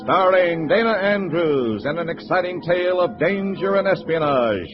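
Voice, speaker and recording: A male announcer with a fairly high voice delivers a scripted, declamatory 1950s radio introduction. The recording is dull and vintage, with very loud music behind him. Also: lively intonation, moderate pace. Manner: dramatic, declamatory.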